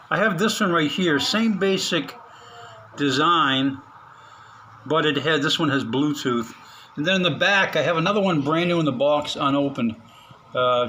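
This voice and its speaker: Relaxed man narrating a radio repair. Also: fairly high pitch, slight background noise, poor audio. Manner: halting, many fillers, chuckles to himself. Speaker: radio hobbyist vlogger. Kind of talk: casual hands-on narration. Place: small spare room at home.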